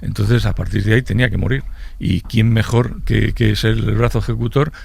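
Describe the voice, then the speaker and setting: A man from giving unscripted expert commentary in an interview, halting and repeating words. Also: on the low side, steady tone, creaky, a little mellow, slightly rough.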